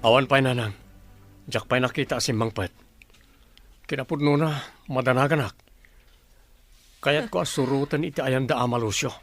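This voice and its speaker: Male actor as determined young villager, Ilocano accent, forceful bright tone, earnest, radio drama. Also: mid-range voice, normal speed, pauses often, faint music behind. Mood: worried determination.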